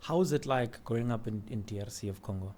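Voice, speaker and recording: A man's voice: lowish voice, fast, warm tone, slight background noise. A male interviewer who asks casual questions and comes back with short, agreeing replies. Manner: brief agreeing replies, laughs along.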